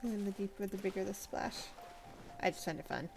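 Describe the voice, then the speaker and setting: A woman's voice: mid-range voice, brisk, gravelly, soft background music. A woman giving casual, rambling live commentary as she streams a video game.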